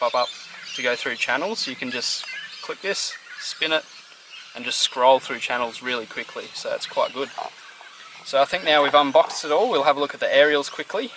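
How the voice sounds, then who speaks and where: A young man talks through a car job in a relaxed, chatty way, dropping in lots of little fillers as he goes. His voice sits at an ordinary middle pitch. He is recorded outside, with clearly audible crickets and insects in the background. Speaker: DIY car channel host. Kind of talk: casual how-to demonstration. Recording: outdoor ambience with insect noise.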